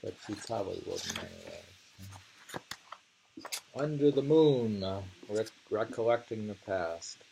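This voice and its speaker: Low, gravelly man, slow and musing. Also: big pitch swings, background noise. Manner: halting, musing, repeats phrases. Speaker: poetry reader and commentator. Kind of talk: reading poems aloud with casual commentary.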